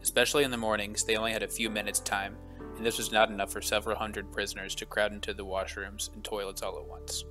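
A man with a fairly low voice reads a scripted voice-over for a walking tour, steady and solemn in his delivery. Soft guitar music plays faintly underneath. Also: fast. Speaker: travel vlogger narrating a tour.

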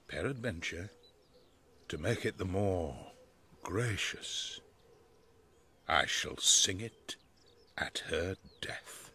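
Pompous bumbling tradesman, very slow, husky, swooping intonation, radio Shakespeare. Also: sits low, expressive, sharp tone, slight background noise, full character voice. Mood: grandly pleased. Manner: slow, halting, awestruck declaiming. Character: Bottom, a bumbling pompous weaver waking from a dream. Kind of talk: British radio drama, theatrical Shakespeare soliloquy.

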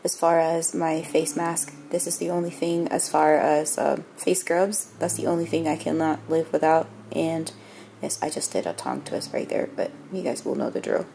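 A young woman with a raspy voice, a bit under the weather, chatting casually to viewers about her routine in a small bathroom. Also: low-pitched, moderate pace, warm tone, slight background noise. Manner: chatty, self-mocking, talks to viewers directly. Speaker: beauty vlogger. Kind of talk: casual chat. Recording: music playing clearly underneath.

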